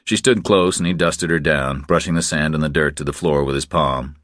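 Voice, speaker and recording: A man reading scripted thriller fiction as an audiobook narrator, in a measured, deliberate storytelling manner. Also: a bass voice, fairly even intonation, a bit bright, no echo, clean studio sound.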